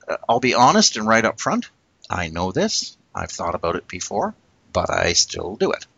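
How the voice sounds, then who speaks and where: A man co-hosting a casual discussion, talking in an earnest, reflective way and slowing down to stress his points. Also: fairly low pitch, huge pitch swings, gravelly, bright, forceful tone, husky, no echo, lo-fi sound. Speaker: podcast co-host.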